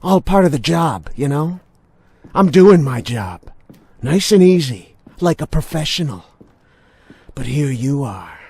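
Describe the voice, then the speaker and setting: A man's voice: fairly high pitch, sing-song, measured pace, gravelly, husky, heavily acted. Menacing, volatile policeman. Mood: simmering contempt. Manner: mocking, theatrical, swinging from soft to shouting. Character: menacing, unhinged veteran policeman bullying an old suspect. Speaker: voice actor in a video game. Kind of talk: dramatic video game cutscene acting.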